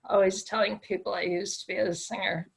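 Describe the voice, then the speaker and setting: A woman with a gravelly, husky voice repeats phrases back one by one. She is the client in a tapping session and echoes each line after it is given to her. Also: mid-range voice, fairly even intonation, brisk. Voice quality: crackly, raspy voice.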